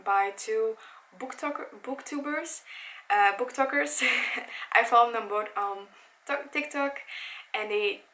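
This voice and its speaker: Young woman in her twenties, first language Dutch, easygoing and excitable, chatty vlog delivery with lots of fillers and restarts. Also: on the high side, slow. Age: 20s. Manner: chatty, enthusiastic, with frequent self-corrections and "like". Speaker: book vlogger. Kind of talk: casual chat. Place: home room by a bookshelf.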